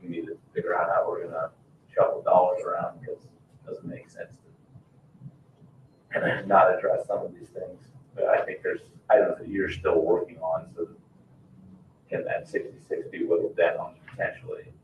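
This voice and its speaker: Deep, even-toned American man, distant. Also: moderate pace. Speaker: council member leading discussion. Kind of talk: informal meeting discussion. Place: indoor town council meeting room. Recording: roomy, distant, muffled meeting-room audio with faint noise.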